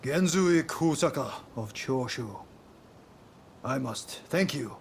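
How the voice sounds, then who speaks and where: A commanding samurai, rumble behind. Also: male voice, gravelly. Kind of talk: dramatic video game cutscene acting. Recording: rumbling background noise.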